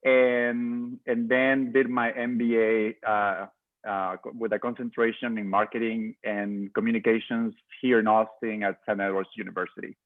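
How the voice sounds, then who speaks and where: A man's voice: fairly low pitch, little pitch movement, moderate pace, dry recording. Man with hesitant speech on a tinny call. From Peru. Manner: hesitant, many fillers. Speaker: sister city committee chair. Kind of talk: video call introduction. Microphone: video call. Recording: tinny phone-like dry audio.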